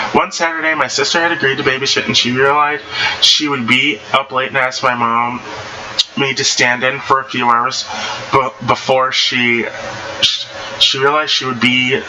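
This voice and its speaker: A man casually reading anecdotes aloud in an animated way, stumbling and rereading phrases as he goes. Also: mid-range voice, slight background noise, rough recording. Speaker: online story reader.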